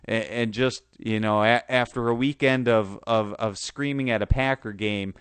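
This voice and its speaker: A man with a low, smooth voice that is sore and a little hoarse, talking football on a show he hosts. He talks in a halting way, full of restarts and fillers. The sound is dry and close. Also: steady tone, a bit bright, no echo. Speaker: sports podcast host. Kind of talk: casual football talk.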